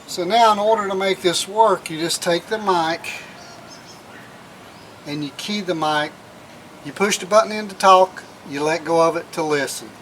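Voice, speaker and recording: A man's voice: sits high, moderate pace, a bit bright, slight background noise. A man giving a casual, plain-spoken hands-on how-to as a vlog host, often repeating his phrases. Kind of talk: casual how-to demonstration, talking while working hands-on. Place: in and around a minivan.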